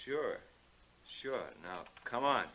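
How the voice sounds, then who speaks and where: A man's voice: medium pitch, lively intonation, slow, sharp tone. An actor playing a nervous, menacing man with a guilty secret, stammering and evasive, in 1940s radio suspense style. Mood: menacing, suspicious. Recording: dull, band-limited 1940s radio recording.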